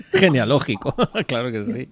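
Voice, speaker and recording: A man hosting an interview, asking halting questions and giving frequent short backchannels. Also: mid-range voice, big pitch swings, a crowd in the background. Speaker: host. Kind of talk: casual interview conversation.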